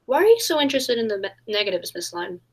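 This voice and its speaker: A woman's voice: on the high side, sing-song, fast. Woman as an earnest, trusting radio heroine. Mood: curious. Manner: earnest, polite. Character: trusting, polite young heroine of a detective serial. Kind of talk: 1940s radio play acting.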